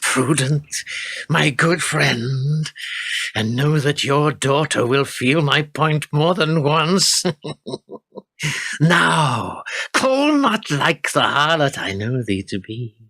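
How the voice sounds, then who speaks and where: An actor playing a cruel, menacing middle-aged lord in a radio play, speaking in archaic, theatrical phrases. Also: male voice, on the high side, expressive, slow, pushed and bright, breathy edge, no room sound, heavily acted. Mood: gloating menace.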